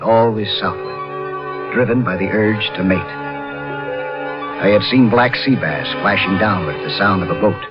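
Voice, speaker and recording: An actor playing a brooding, obsessed skin diver in a measured, wistful radio drama style. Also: male voice, on the low side, close to an everyday voice. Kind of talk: dramatic first-person radio drama narration. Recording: dull lo-fi radio recording over loud music.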